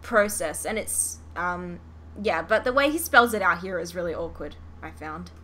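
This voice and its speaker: A woman with a bright, forward voice chats casually as a podcast host. She is expressive and swears freely. The recording has faint noise behind her. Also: fairly low pitch, normal speed. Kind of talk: casual fan chat reviewing a show.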